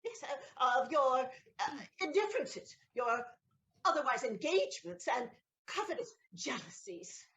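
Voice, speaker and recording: A woman's voice: sits high, very animated, a little slow, a bit bright, full character voice. A woman playing a noble countess in an audio play, tender at times and stammering when caught. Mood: flustered. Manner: flustered, stammering. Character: flustered noble countess, anxious to hide secrets. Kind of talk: stage audio play acting.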